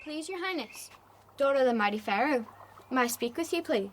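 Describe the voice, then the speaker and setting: Clever young servant girl, slightly high and respectful; radio drama with faint outdoor birdsong. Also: lively intonation, fairly quick, slight background noise. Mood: humble, hopeful. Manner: humble, pleading. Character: polite, clever young Hebrew slave girl.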